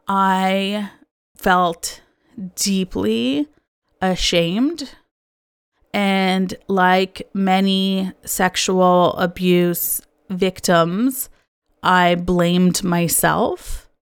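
A woman's voice: mid-range voice, fairly even intonation, extremely slow, clear, resonant tone, no echo, clean studio sound. A woman from Canada, hosting a podcast and telling her own story in an earnest, candid, confessional monologue. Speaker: podcast host. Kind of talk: personal reflective monologue.

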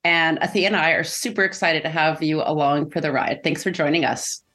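A woman's voice: deep voice, brisk, a bit bright, faint music behind. A middle-aged woman co-hosting a podcast in a casual, conversational way. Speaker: podcast co-host.

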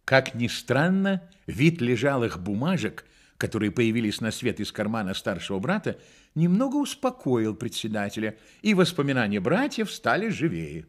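A man narrating a literary radio play as a deliberate, expressive storyteller. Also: mid-range voice. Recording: studio radio play with music.

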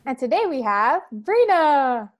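A woman's voice: high-pitched, very animated, slow. A woman hosting a casual podcast, asking her guest questions and laughing along. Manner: friendly interviewer, asks and laughs along. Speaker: podcast host. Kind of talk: casual chat.

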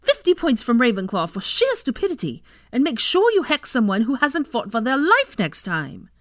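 A woman's voice: mid-range voice, huge pitch swings, normal speed, no echo, voice pushed up. A woman reader acts out a brash teenage girl from a storybook. The voice is raised well above a normal register, emphatic and blunt, with a fiery edge, recorded very dry. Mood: angry, indignant. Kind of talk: audiobook character voice.

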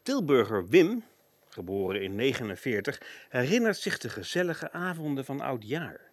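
Lively male radio narrator, dry sound. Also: medium pitch, moderate pace, no echo. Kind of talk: scripted documentary narration in a radio play.

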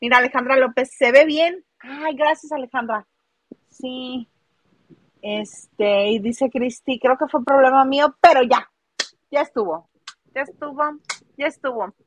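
Woman, Mexican accent, somewhat high voice, dry close sound, playful teasing gossip chat, live-stream co-host. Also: measured pace, no echo, rough recording. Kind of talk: casual gossip chat, reading viewer comments.

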